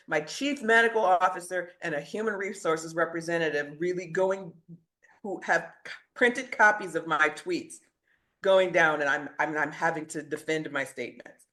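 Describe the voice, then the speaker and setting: A woman's voice: sits low, pushed and bright. A middle-aged woman physician, a guest in a conversational interview, recounting events earnestly and laughing now and then at her own defiance.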